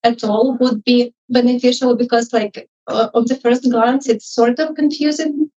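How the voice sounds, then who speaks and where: Hesitant non-native woman, high voice, distant muffled mic. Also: fairly even intonation, normal speed, mellow. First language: not English. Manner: hesitant, tentative, apologetic. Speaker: audience member, junior UX designer. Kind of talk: informal spoken feedback and questions. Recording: muffled live event audio, distant mic.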